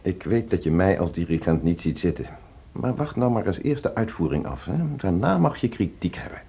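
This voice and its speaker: Ambitious conductor, dismissive and stubborn, animated intonation, radio drama, dull muffled recording. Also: male voice, low voice, brisk, gravelly, exaggerated voice. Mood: dismissive, impatient. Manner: brusque, self-assured, dismissive. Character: ambitious, stubborn male music school orchestra conductor. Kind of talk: naturalistic radio drama acting.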